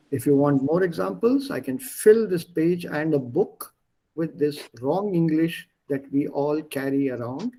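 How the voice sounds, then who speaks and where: Husky Indian man teaching German online. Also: on the high side, a little mellow, low-quality recording. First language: Gujarati. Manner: explanatory, slows down to stress key words. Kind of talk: online language class.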